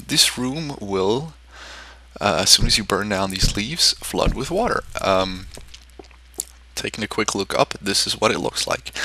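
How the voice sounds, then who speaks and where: A man's voice: lowish voice, sharp tone. Rambling man narrating his gameplay. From Switzerland. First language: German. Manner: rambling, many ums and restarts. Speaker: game video creator. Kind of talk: casual gameplay commentary.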